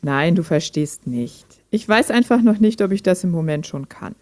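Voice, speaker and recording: A gentle, guarded female chatbot, acted by a woman speaks in a lowish voice with a dry studio sound. She is thoughtful and conversational, like a person in an intimate radio drama. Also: expressive, normal speed, dry recording, close to an everyday voice. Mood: hesitant, apologetic. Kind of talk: intimate German radio play dialogue.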